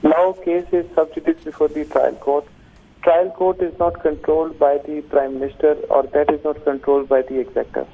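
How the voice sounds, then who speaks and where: Over a thin, phone-like line comes a Pakistani-accented man with a fairly high voice. He is a lawyer giving formal legal argument, halting and hesitant as he goes. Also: flat delivery, creaky. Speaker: defence lawyer, interview guest.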